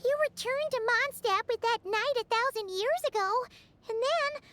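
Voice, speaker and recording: Squeaky, extremely high little fairy. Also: female voice, normal speed, very noisy. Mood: curious. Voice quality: squeaky, childlike. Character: tiny, curious childlike female fairy companion. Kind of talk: fantasy video game acting.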